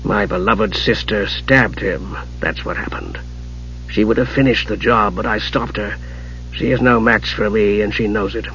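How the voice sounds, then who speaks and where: A man voicing a cold, irritable archmage in a storybook, speaking in a hushed, husky, dry and disdainful way. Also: lowish voice, normal speed, muffled recording, a little hiss or hum, pitched below the performer's own voice. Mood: cold, scornful. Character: bitter, irritable male archmage, cold and menacing.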